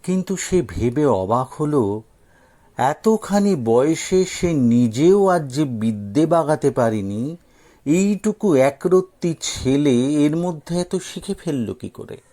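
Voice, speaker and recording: A man's voice: a little high, animated, slow pace, dark tone. A man reading a scripted story expressively as an audio story narrator.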